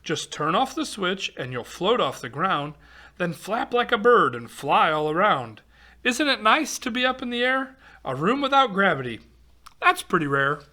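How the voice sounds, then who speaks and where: A man's voice: high-pitched, sing-song, moderate pace, bright, forceful tone. A man reading a rhyming picture book aloud to children, expressively, with exclamations and chatty asides. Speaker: volunteer storybook reader for children.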